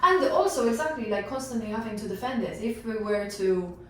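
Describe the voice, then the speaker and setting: A woman's voice: on the high side, a little fast. A woman talking emphatically and chattily in a casual group discussion. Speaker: panelist in a sports team discussion. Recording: very echoey room, distant mic, faint noise.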